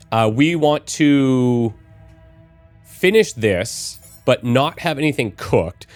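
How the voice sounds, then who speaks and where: A man with a slight cold and a touch of rasp talks through his game plan, thinking aloud with plenty of fillers. Faint music plays underneath. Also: mid-range voice. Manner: musing aloud, planning with fillers. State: slight cold, a bit raspy. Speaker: let's play host. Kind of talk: casual gameplay commentary.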